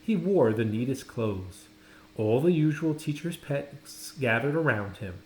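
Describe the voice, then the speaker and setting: A man reading a children's story aloud, dramatically, with pauses and stressed words. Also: mid-range voice, lively intonation, darkish tone. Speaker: storybook reader. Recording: roomy echo, faint background noise.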